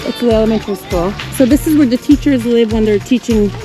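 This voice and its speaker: A woman's voice: on the high side, fast, poor audio. A woman hosting a vlog, chatting casually and asking questions. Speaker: vlog host. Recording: music and chatter on handheld camera.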